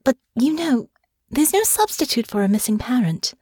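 A woman's voice: fairly high pitch, big pitch swings, normal speed, mellow, husky, dry recording, clean studio sound, pitched above the performer's own voice. A woman voicing a poised young noblewoman who speaks with refined, courteous diction. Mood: gracious. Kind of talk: audiobook character voice.

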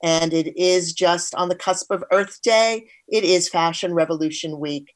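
A woman's voice: on the low side, unhurried. A woman hosting an interview show, speaking in a presenter's style as she introduces topics and questions her guest. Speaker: show host.

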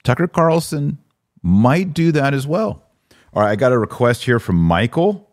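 A man's voice: mid-range voice, very animated, normal speed, no room sound, studio quality. A man hosting a live podcast, giving casual commentary and explaining things conversationally to listeners. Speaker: podcast host.

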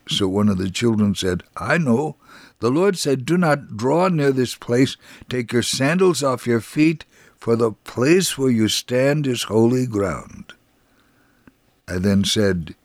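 A man's voice: medium pitch, no room sound. Man narrating a testimony, close dry sound. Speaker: narrator reading a first-person testimony. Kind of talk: scripted dramatic narration.

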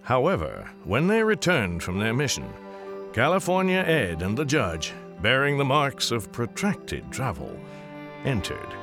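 An actor narrating a dramatized Western Christmas tale in a measured, wry, literary manner. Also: male voice, mid-range voice, music in the background. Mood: wry storytelling. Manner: measured, expressive, literary phrasing. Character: male storyteller of an old Western Christmas tale, wry and folksy.

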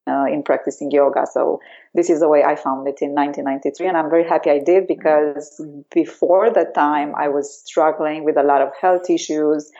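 A woman with a Romanian accent speaks in a low-ish, mellow voice, pausing often with fillers as she explains. The sound is dull and lo-fi, like call audio. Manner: hesitant, many fillers. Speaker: yoga teacher. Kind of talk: remote interview.

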